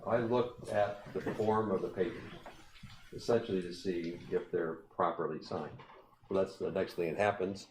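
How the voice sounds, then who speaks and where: Low-voiced man explaining court steps. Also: steady tone, normal speed. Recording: roomy broadcast audio with background noise.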